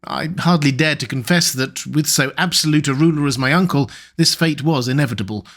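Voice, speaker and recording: A man narrating a classic novel as an audiobook in a measured, even reading. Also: on the high side.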